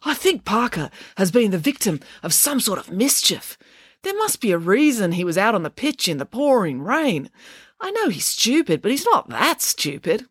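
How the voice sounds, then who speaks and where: Lady reading as smart blunt school girl detective in a storybook, matter of fact, lively pitch. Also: normal speed, breathy edge, no echo, studio quality. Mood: deadpan.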